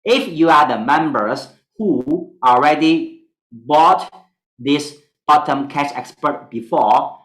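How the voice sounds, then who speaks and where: A man with a Singaporean accent, whose first language is Mandarin, teaching an online stock analysis class in halting English full of fillers. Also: on the high side, a bit bright, reverberant, poor audio.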